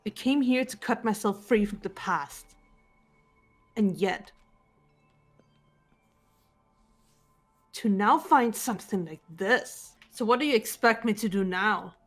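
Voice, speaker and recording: A woman voicing a composed, guarded teenage girl detective in an amateur game dub, measured and restrained. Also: medium pitch, soft background music, natural voice. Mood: hurt, bitter. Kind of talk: amateur voice acting in a visual novel playthrough.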